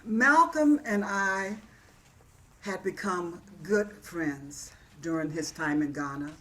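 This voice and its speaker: A woman acts out a poet and activist looking back on her life in a dramatic stage reading. She speaks slowly at a middle pitch, with earnest, measured storytelling. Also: fairly bright tone. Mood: fond. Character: warm, reflective woman poet and activist, reminiscing.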